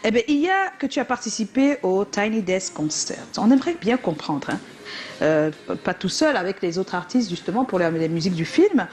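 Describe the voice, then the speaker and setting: A woman hosting a talk show, animated and laughing as she prompts her guest. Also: medium pitch, a little fast. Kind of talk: lively studio chat.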